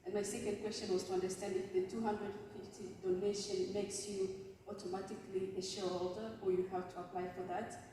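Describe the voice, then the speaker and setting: A woman's voice: medium pitch, level tone, fairly quick. A woman from the Democratic Republic of the Congo asking her questions from the audience, one at a time and in order. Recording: echoey hall, distant mic, faint noise.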